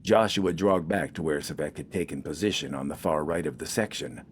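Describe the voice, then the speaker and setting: A man's voice: a bass voice, fairly quick, a little hiss or hum. A man reading scripted fiction as an audiobook narrator.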